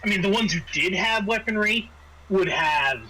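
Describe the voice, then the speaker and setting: A man's voice: sits high, expressive, normal speed, over a noisy crowd, poor audio. A man running a tabletop roleplaying game as game master, narrating off the cuff and often repeating and restarting his phrases. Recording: faint background noise.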